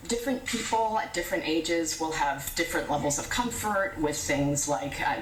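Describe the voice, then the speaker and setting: A woman official speaking in a measured, bureaucratic way. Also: deep voice, echoey room, distant mic, a little hiss or hum, rough recording.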